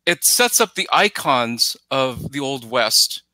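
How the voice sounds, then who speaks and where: Halting man, very bright forceful voice. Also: medium pitch, animated, dry recording. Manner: halting, searching, starts phrases over. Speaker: podcast guest, writer. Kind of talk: casual film analysis. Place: plain room at home, on a video call. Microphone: headset.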